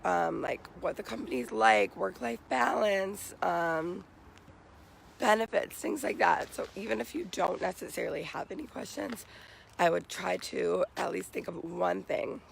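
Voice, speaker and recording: A young American man with a raspy, morning-hoarse voice, giving casual advice to viewers as a vlogger. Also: high-pitched, lively intonation, measured pace, a bit bright, slight background noise, poor audio. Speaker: vlogger giving job interview tips. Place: outdoors.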